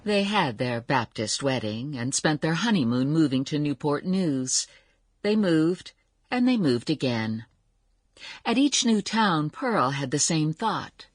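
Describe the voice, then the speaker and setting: A woman's voice: low voice, big pitch swings, slow pace, slightly rough, dry recording, full character voice. A woman playing a wry storyteller narrating a family saga in a radio drama. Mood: wry, storytelling.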